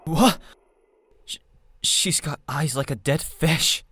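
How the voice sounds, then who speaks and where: A man's voice: a little high, slow, a bit bright, breathy edge, dry recording, exaggerated voice. A man voicing a blunt, brash teenage schoolboy in an anime-style game dub. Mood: blunt, uneasy.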